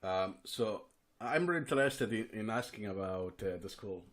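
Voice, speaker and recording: A man from Spain with a Spanish accent, hosting an interview and asking halting questions with frequent restarts. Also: fairly low pitch, lively intonation. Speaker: podcast host. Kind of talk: conversational interview.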